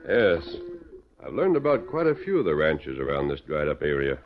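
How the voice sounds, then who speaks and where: A man's voice: a bass voice, huge pitch swings, moderate pace, exaggerated voice. An actor playing a stern, heroic masked gunman with commanding, formal diction in a 1940s radio western. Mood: calm, resolute. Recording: muffled vintage radio recording.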